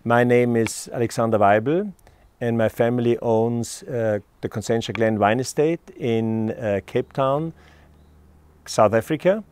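A middle-aged man with an Austrian accent, a wine estate owner presenting a tasting outdoors, speaking in a measured way with many pauses. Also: mid-range voice, a little mellow, clean tone. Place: outdoors on a hilltop above a lake.

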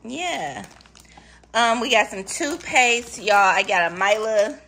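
A woman's voice: a little high, lively intonation, slow, sharp tone, slight background noise. A woman in her thirties chatting casually to her viewers, filming from behind the camera in her home kitchen. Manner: chatty, talks straight to viewers, says y'all often. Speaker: vlogger doing a grocery haul. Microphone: handheld camera, talking from behind it.

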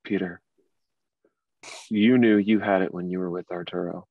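Casting: male actor as well-off thirties man, wounded and accusing, lowish husky voice, dramatic table-read delivery. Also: steady tone, normal speed, dry recording. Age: 30s. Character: well-to-do man in his late thirties, hurt, accusing ex-lover. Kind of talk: dramatic script reading. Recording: dull, lo-fi but dry recording.

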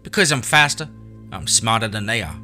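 A man's voice: fairly low pitch, big pitch swings, fairly quick, pushed and bright, soft background music, voice pushed up, exaggerated voice. A man voicing a scrappy, hungry young boy with a drawl and defiant pride. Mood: proud. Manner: folksy backwoods drawl, pleading to defiant.

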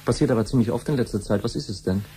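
A man plays a sullen, indifferent young adult son in a 1970s radio drama, on a noticeably noisy old recording. He talks quickly in a mid-range voice, flippant and defiant. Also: medium pitch, mellow, natural voice. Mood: puzzled. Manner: flippant, offhand. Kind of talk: 1970s German radio play acting.

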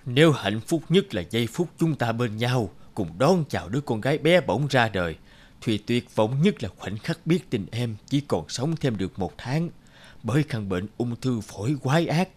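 A man playing a tender, heartbroken husband and father in a dramatic cartoon voice-over, measured and sorrowful. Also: mid-range voice, expressive, a little mellow, close to an everyday voice.

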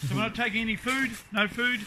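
A man on a hiking trip asking quick questions in casual English chat. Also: very high-pitched, fairly even intonation, a crowd in the background. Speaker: hiking companion.

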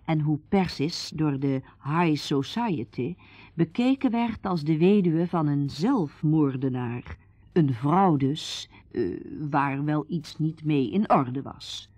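A woman's voice: deep voice, expressive, slow pace, dark tone, no room sound, exaggerated voice. A woman playing the composed storyteller who narrates a Dutch radio drama. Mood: measured.

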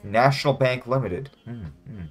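A man's voice: mid-range voice, normal speed, soft background music. A man in his twenties playing a game and thinking aloud in a hesitant, musing, casual way. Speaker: guest player, beginner. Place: small home room indoors.

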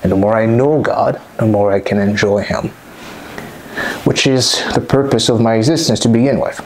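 A man in his thirties from Congo, a native French speaker, giving an earnest, measured vlog monologue into a lapel mic in a small dim room at home. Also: on the low side, a little mellow, slight background noise, rough recording. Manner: measured, earnest, reflective delivery. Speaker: vlogger. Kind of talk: scripted personal vlog monologue. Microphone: clip-on lapel mic.

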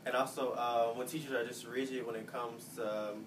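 A twenty-year-old male student giving measured interview answers with "uh" and "um" pauses. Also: medium pitch. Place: office computer room, indoors. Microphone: distant camera mic. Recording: distant mic with steady hiss.

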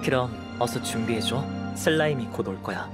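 A man's voice: medium pitch, lively intonation, lightly acted. Actor as a composed young male alchemist, music behind. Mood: composed, analytical. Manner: measured, composed, explanatory. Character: calm, analytical young male alchemist and researcher. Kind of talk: Korean game voice acting.

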